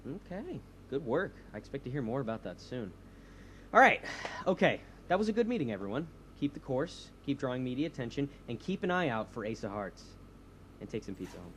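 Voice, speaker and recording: A man's voice: medium pitch, big pitch swings, a little fast, a bit bright, a little hiss or hum. A man playing an insecure young superhero leader, hesitant and earnest, in naturalistic audio drama dialogue. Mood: upbeat, authoritative. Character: insecure young male superhero leading a movement.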